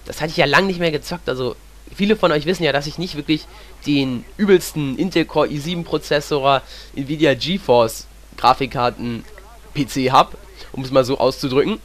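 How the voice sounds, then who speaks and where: A man's voice: a little high, moderate pace. A young man with a cold, talking casually and rambling as he comments on gameplay. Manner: chatty, rambling, fills with "ja". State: has a cold. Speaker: gaming commentator.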